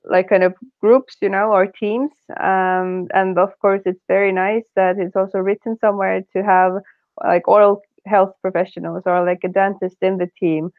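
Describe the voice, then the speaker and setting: A woman talks through her points, pausing on fillers and restarting sentences. Her voice arrives over a dry, tinny video-call line with a narrow, phone-like band. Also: mid-range voice, little pitch movement, no echo. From Estonia. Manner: hesitant, many fillers and restarts. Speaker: panelist in an online meetup. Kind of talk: casual discussion.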